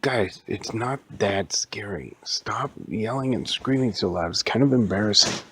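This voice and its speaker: A man's voice: fairly low pitch, a little slow, pushed and bright, slight background noise. A man voicing a grumpy, unimpressed monster animatronic bear in a scolding manner.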